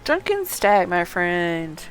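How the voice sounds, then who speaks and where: A woman streams a game with casual, off-the-cuff commentary. She talks slowly, half to herself, reacting aloud as she goes, with soft music playing behind her. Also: fairly low pitch, sing-song. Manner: reacts aloud, muttering to self.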